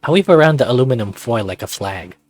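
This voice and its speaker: A man's voice: medium pitch, lively intonation, fast, darkish tone, no room sound. A man narrating a visual novel and chatting casually as the host of a read-aloud channel.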